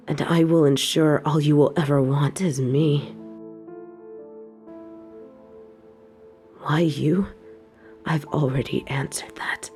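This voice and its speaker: A woman playing an evil, possessive queen in an intimate audio roleplay, speaking formally and seductively in a breathy voice that often drops toward a whisper. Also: low voice, darkish tone. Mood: persuasive, doting. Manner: formal, regal, slow seductive delivery.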